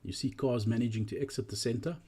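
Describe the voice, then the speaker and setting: Man with a husky, mellow voice, explaining. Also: medium pitch, fast. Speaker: presenter. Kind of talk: narrated walkthrough presentation.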